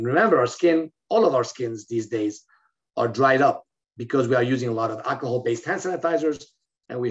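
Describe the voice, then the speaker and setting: A middle-aged man from Lebanon giving a recorded medical lecture over a video call, explaining steadily with fillers and restarts. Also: medium pitch. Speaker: physician lecturer. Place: indoor room, in front of a plain wall. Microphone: webcam on a video call. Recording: slightly dull, lo-fi video call audio.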